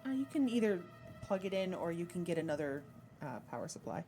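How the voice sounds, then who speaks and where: Lady game master with a lower voice explaining rules to players, pausing a bit, music playing behind her. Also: big pitch swings, mellow. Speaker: game master of an online tabletop roleplaying game.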